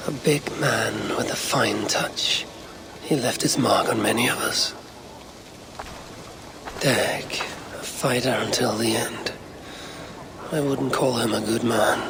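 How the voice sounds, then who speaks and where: An actor playing a brooding Viking clan leader in a video game, measured and solemn, as if murmuring to himself. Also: male voice, mid-range voice. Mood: solemn, hushed. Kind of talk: video game cutscene acting.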